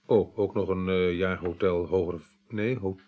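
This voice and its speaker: An actor playing a businesslike, matter-of-fact police detective in a Dutch radio drama, speaking colloquially with slight hesitations. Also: male voice, low-pitched, fairly even intonation, measured pace, narrow, tinny audio. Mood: methodical, hesitant. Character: businesslike male police detective reporting on a case. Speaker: police investigator.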